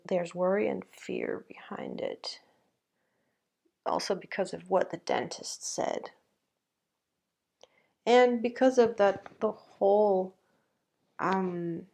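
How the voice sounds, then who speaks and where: A woman leading a guided meditation in a gentle, halting way, with long pauses between phrases. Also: on the low side, gravelly.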